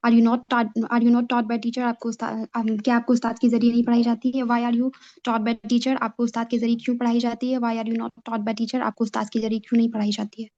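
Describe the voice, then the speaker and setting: Mellow, flat-voiced woman student. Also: fairly high pitch, brisk, highs cut off. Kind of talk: reciting grammar drills.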